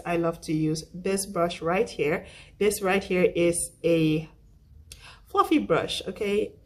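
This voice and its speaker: A young woman talks through her makeup brushes in a relaxed tutorial. Her voice is husky and roughened by a flu she is getting over, and it sits a little low. She is chatty and self-deprecating, and she circles back to repeat her points. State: recovering from flu. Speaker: makeup vlogger. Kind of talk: casual makeup tutorial talk.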